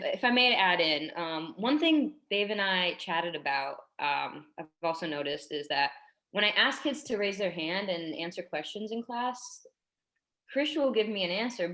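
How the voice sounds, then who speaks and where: A woman playing a tactful, apologetic primary schoolteacher in a staged drama reading, hesitant and gently persistent. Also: medium pitch, lightly acted. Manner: hesitant, careful, conciliatory.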